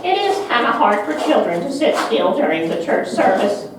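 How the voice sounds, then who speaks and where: A woman's voice: fairly high pitch, sing-song, moderate pace. A woman playing a patient Sunday school teacher in a children's puppet show. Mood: instructive. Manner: instructive, patient. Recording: echoey, noisy room, distant mic.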